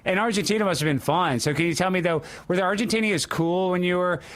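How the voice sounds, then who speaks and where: A man's voice: sits high, fast, a bit bright. Brash, joking male talk show host. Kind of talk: opinionated casual talk show banter.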